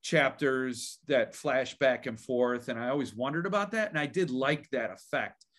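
A man hosting a book channel interview, enthusiastic and halting, full of fillers and restarts. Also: medium pitch, a bit bright. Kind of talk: casual interview conversation.

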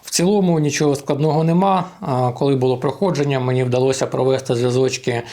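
A man's voice: medium pitch, steady tone, fast, very noisy. A man giving an informal, step-by-step tutorial narration as an amateur radio vlogger.

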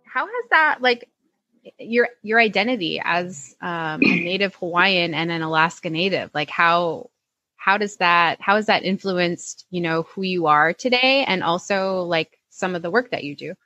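A woman hosting a podcast, asking halting, casual questions full of "like". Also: on the low side, lively intonation, pushed and bright, lo-fi sound. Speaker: podcast host. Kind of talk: casual interview chat.

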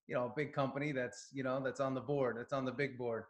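A man's voice: medium pitch, little pitch movement, fast talker. A man in his forties who hosts the show, asking questions and affirming his guest in an easygoing way over a video call. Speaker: podcast host, coach. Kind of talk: conversational interview. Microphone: video call webcam. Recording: echoey room.

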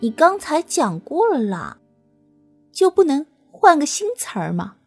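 Bratty female beast spirit, teasing and whiny, played by a woman, raised pitch, storybook with background music. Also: sing-song, a little slow, full character voice. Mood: pouty. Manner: whiny, pouty and impatient.

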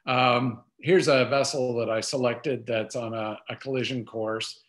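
A man's voice: medium pitch, steady tone, unhurried. An older man giving an informal, explanatory webinar product demonstration over a video call. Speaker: presenter.

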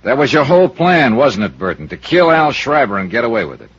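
A man's voice: medium pitch, big pitch swings, fairly quick, husky. An actor playing a tough, wry, terse American male investigator who narrates his case in a radio detective drama. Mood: accusing, wry. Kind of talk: 1950s radio detective acting. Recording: dull old radio recording, music bed.

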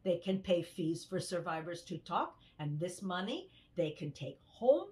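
Older woman, acting, slow delivery, earnest survivor-activist, expressive halting testimony monologue. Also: on the low side. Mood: reflective, earnest. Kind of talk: dramatized first-person testimony monologue. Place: indoors in a home room, on a video call.

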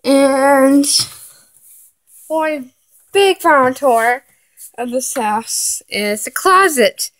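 Woman, high voice, very slow, halting with fillers, lists items one by one, home tour vlog, handheld phone indoors. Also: fairly bright tone. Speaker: vlogger giving a home tour. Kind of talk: casual home tour narration. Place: house rooms, indoors. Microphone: handheld phone camera, filming while walking.

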